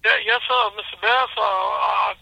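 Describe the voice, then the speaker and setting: A man voicing a flustered, deferential elderly Texas oilman with a slipping put-on Texas accent, halting and stammering, over a telephone. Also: sits high, fairly even intonation, vocal fry, no echo, dull audio. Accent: put-on Texas accent that slips. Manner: halting, stammering, repetitive, says sir often.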